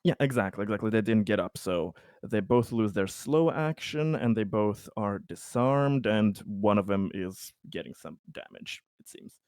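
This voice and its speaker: A man with a low voice plays a tabletop game and explains his character's moves hesitantly, often restarting. The sound is dry and close, with no room around him. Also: animated, moderate pace, few pauses, darkish tone, no echo. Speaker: player. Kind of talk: tabletop game play over voice chat.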